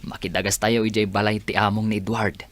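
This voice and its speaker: A man's voice: lowish voice, flat delivery, a little fast, a bit bright, lightly acted. A man playing an easygoing provincial young relative in a radio drama. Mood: practical. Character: easygoing provincial nephew guiding his relatives.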